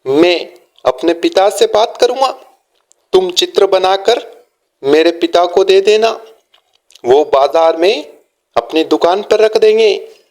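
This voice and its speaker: Casting: male narrator as helpful young pal in a cartoon fable, high-pitched, slow, encouraging and explanatory. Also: exaggerated voice. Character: helpful, encouraging young friend, a merchant's son.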